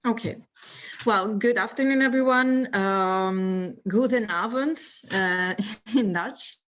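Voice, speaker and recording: A woman's voice: mid-range voice, slow pace, fairly bright tone, slight background noise. Italian-accented woman in her late twenties, guest on a video call, friendly and a little nervous. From northeast Italy. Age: 20s. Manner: friendly, nervous laughs, many fillers. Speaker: guest speaker.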